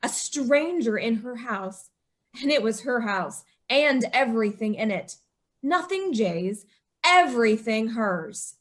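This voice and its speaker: A woman's voice: on the high side, animated, slow, fairly bright tone. A woman playing a desperate, bitter young bride. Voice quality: breathless and anguished. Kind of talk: old-time radio drama acting.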